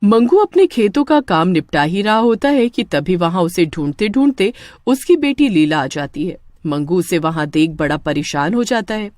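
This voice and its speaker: A woman narrating a scripted story as an expressive storyteller. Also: fairly low pitch, normal speed, hardly any pauses, no room sound, studio quality.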